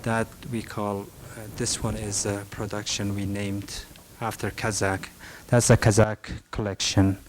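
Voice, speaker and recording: A middle-aged Afghan man speaks in a low, level voice, stopping and restarting often as he answers questions from the audience. The talk sounds like an archival recording from a library meeting room, with a faint hiss underneath. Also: flat delivery. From northern Afghanistan. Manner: halting, frequent restarts and fillers, soft-spoken. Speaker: rug merchant giving a talk.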